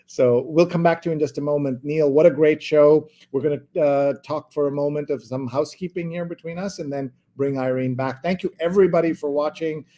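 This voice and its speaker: A man hosting a live talk show in a casual, chatty way, with halting asides. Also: a little high, mellow.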